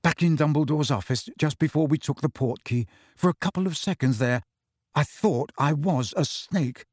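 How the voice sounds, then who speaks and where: Storybook teen boy: frightened, hushed, near-whispering, read by a male narrator, dry close sound. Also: fairly high pitch, normal speed, breathy edge, dry recording. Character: anxious teenage boy, frightened and impatient.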